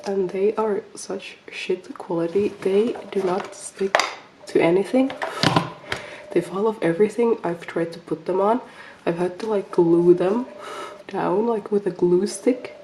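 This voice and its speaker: A woman's voice: mid-range voice, mellow, slight background noise, low-quality recording. A woman showing her collection in a casual vlog, rambling with lots of ums and restarts, slightly sick and nasal. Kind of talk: casual show-and-tell vlog.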